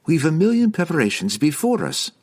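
A cheerful, steady woman adventurer from a fantasy storybook, as a male reader puts her on. She stays stubbornly upbeat, with a lifted pitch that moves in very lively swings. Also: moderate pace, breathy edge, heavily acted. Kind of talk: fantasy audiobook character voice.

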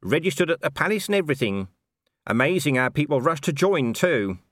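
A man's voice: a little high, very animated, a little fast, fairly bright tone, no echo, studio quality, pitched above the performer's own voice. A man voicing a scruffy, cheeky corporal who speaks with cocky, offhand smugness. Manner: cocky, offhand, self-satisfied. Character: scruffy, cheeky male corporal, smug union agitator. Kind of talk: audiobook character voice.

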